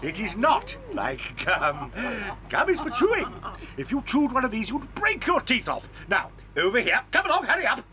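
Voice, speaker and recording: An actor playing an eccentric, excitable male chocolate factory owner, playful and theatrical, in a studio audio drama. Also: extremely high pitch, normal speed, music in the background, heavily acted. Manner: playful, theatrical, bursts into rhyming chants.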